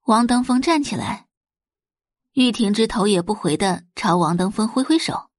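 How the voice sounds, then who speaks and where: A woman's voice: mid-range voice, animated, normal speed, no room sound, clean studio sound. A woman narrating a scripted audio drama in a dramatic storytelling style.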